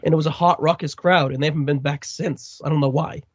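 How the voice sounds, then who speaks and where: A man with a Boston accent co-hosting a casual wrestling podcast, animated and prone to repeating words. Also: fairly high pitch, fast, no echo, muffled recording. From Massachusetts, USA. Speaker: podcast co-host.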